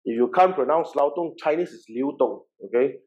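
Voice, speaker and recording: A Malaysian-accented man in his forties talks a workshop audience through his background at a middle pitch. He is chatty and loose, repeating words and tossing in "okay" checks. The sound is a little dull and lo-fi, like an online session. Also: a little fast, darkish tone. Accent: Malaysian English. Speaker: workshop presenter and real estate agency founder. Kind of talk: informal seminar presentation.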